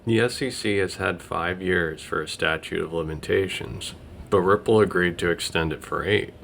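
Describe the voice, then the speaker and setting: A man's voice: deep voice, moderate pace, vocal fry, a bit bright, a little hiss or hum. A man giving scripted news commentary as a video host.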